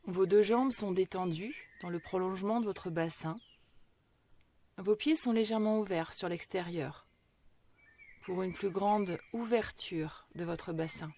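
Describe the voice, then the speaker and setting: A woman guiding a yoga relaxation meditation in a slow, measured, gentle and soothing way, with long pauses. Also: medium pitch. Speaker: yoga nidra meditation guide.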